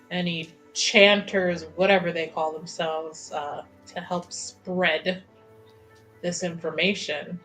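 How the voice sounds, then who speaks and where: Bright-toned woman at a game table. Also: fairly low pitch, rough recording. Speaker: player in a tabletop roleplaying game. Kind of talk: casual planning chat at game table.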